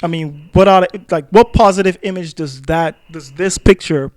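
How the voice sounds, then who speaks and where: A man's voice: sits high, moderate pace, a little mellow, dry recording, clean studio sound. A man co-hosting a casual opinion discussion, repeating phrases as he argues his point. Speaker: podcast co-host.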